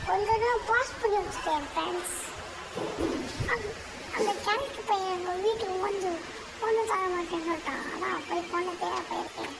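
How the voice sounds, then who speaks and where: Very high childlike voice, playful gaming host. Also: a little fast, vocal fry. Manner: bantering, acts out back-and-forth taunts. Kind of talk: playful mobile game commentary. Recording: noisy home-style recording.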